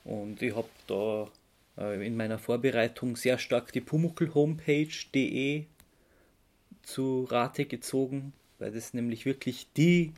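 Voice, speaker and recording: A man's voice: mid-range voice, unhurried, darkish tone. A man with an Austro-Bavarian accent co-hosting a podcast, explaining things informally and correcting himself as he goes. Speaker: podcast co-host. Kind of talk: casual explanatory chat.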